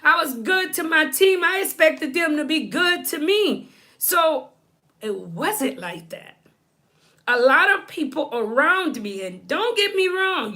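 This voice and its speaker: A woman from Haiti talks to her live-stream viewers in a high, bright, forceful voice. She takes her time, speaking casually but emphatically and circling back over phrases to stress them. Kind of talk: casual opinion talk to viewers.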